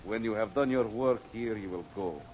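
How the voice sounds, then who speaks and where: A man's voice: fairly low pitch, moderate pace, darkish tone, close to an everyday voice. Menacing radio-serial crime boss, played by a man: imperious, formal, clipped orders, on a muffled, slightly noisy old recording. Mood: cold, menacing. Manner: commanding, curt, formal, clipped phrasing. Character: imperious, menacing male criminal mastermind. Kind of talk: old-time radio drama acting.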